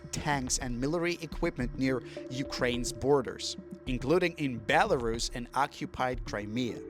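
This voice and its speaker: Russian-accented young man, fairly high voice, blunt, impassioned monologue with swearing, music bed underneath. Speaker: vlogger. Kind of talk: opinion commentary monologue.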